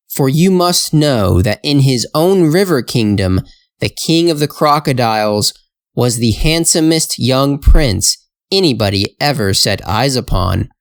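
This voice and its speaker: A male storyteller reads a fairytale very slowly for learners. His phrasing is careful and measured, his pitch sits in the middle, and the sound is dry and close. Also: no echo. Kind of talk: slow scripted fairytale narration for English learners.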